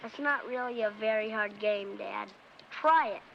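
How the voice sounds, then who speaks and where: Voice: mid-range voice, unhurried, creaky, muffled recording, a little hiss or hum. A child actor playing a curious, earnest young boy in scripted television family dialogue, plainspoken and inquisitive. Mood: eager, playful. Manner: inquisitive, plainspoken, matter-of-fact.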